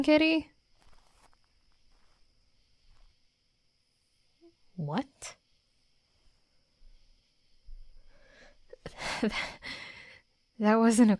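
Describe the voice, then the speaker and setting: A woman voicing a tough young female werewolf in an intimate roleplay audio drama, gruffly defensive and stammering, secretly smitten. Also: medium pitch, a little mellow, dry recording, natural voice. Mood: irritated, challenging.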